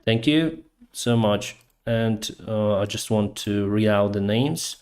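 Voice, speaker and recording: A Ukrainian-accented man talks slowly and unhurriedly as host of a casual live stream. His voice sits fairly low. He gropes for words in English, a language he learned later, and leaves many hesitant "um"s and "uh"s. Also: darkish tone.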